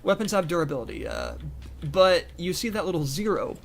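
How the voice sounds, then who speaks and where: Slightly raspy man reviewing a game. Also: fairly high pitch, huge pitch swings, a little fast. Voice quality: rambling, with restarts and fillers. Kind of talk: casual gameplay commentary.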